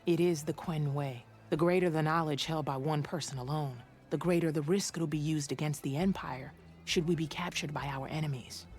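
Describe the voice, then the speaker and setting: A man's voice: fairly high pitch, steady tone, moderate pace, a little mellow, close to an everyday voice. An actor playing a loyal, steadfast navigator in a video game, a man speaking formally and earnestly. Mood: guarded, formal. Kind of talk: video game cutscene acting. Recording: music behind the dialogue.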